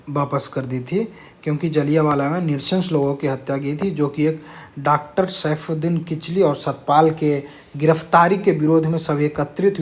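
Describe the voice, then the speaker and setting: A man teaching an informal exam-prep lesson, explaining answers and mixing in English words. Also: a little high.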